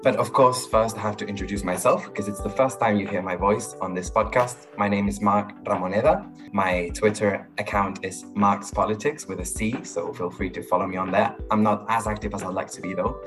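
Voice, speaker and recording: A young man with a Spanish accent hosts a podcast in a lowish voice. He speaks earnestly, a little formally, as if reading a prepared intro. Music plays clearly beneath him. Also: steady tone, fairly quick, few pauses. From Spain. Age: early 20s. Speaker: podcast host. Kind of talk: scripted podcast intro and interview questions.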